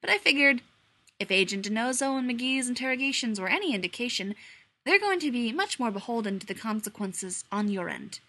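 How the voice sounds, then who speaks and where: A man's voice: extremely high pitch, sing-song, fairly quick, sharp tone, full character voice. A woman voicing a laid-back male air force colonel who drawls his words and keeps a dry tone. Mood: wry.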